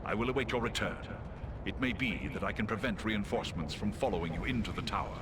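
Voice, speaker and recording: An actor voicing a noble, loyal giant eagle in a fantasy game, grave and courteous, with stately formal speech. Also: male voice, fairly low pitch, fast talker, noisy background, close to an everyday voice. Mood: solemn, courteous. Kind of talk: fantasy video game cutscene acting. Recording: music under voice.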